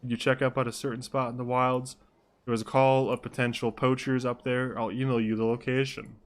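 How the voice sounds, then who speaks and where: A man's voice: medium pitch, level tone, fairly quick, natural voice. A man voicing a reluctant, skeptical officer in charge in a tabletop role-playing game, weary and grudging.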